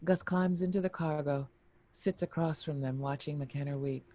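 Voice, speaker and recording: A narrator reading screenplay action and scene headings in a deliberate, expressive storytelling manner. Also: medium pitch. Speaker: narrator reading stage directions.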